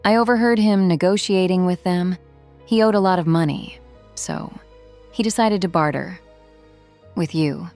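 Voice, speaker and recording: A woman playing a haunted, protective former street criminal in a drama, speaking in an earnest, halting, confessional way. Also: lowish voice, a little mellow, faint music behind, lightly acted. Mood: grave, halting. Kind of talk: dramatic audio drama acting.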